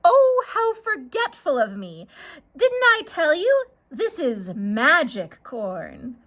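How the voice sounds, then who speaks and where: A woman plays a short, round storybook swindler with a bright, high voice. The patter is gushing and wheedling, swooping up and down with oily charm. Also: huge pitch swings, slow pace, exaggerated voice. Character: short round grinning stranger, smooth-talking swindling trader.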